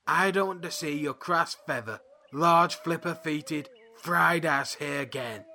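Brash, bright-voiced drill sergeant duck. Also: male voice, fairly high pitch, unhurried, slight background noise, heavily acted. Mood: scornful. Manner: barking, sarcastic military orders. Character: brusque drill sergeant duck, loud and scornful. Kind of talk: audio drama acting.

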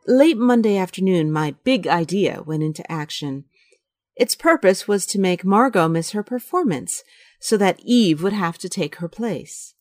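Slow, expressive scheming wife. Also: female voice, lowish voice, no echo, clean studio sound, soft background music. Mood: wry confession. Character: Karen, a guilty, scheming well-bred wife and confidante. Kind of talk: radio drama acting with confessional narration.

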